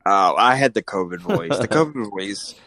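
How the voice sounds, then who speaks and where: A man's voice: fairly low pitch, animated, moderate pace, bright, forceful tone, dry recording. A man hosting a casual podcast, rambling and joking with his co-host, often restarting his sentences.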